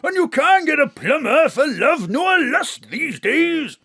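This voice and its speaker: An actor playing a grumbling local character in an audio drama, complaining in a drawn-out way. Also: male voice, very high-pitched, sing-song, fairly bright tone, heavily acted. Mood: griping.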